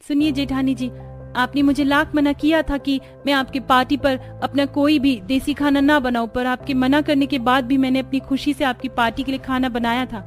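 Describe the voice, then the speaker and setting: Gentle traditional young woman, played by a woman, deferential, high-pitched, cartoon family story, faint music. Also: level tone, moderate pace, natural voice. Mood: earnest. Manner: polite, deferential. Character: gentle, dutiful traditional young sister-in-law.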